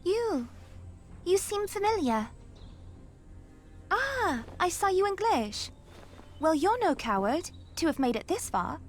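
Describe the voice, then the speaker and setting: A woman's voice: very high voice, very animated, slow, pauses often, heavily acted. An actress playing a small, forthright female guardian in a fantasy video game. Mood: curious, assessing. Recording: faint music behind.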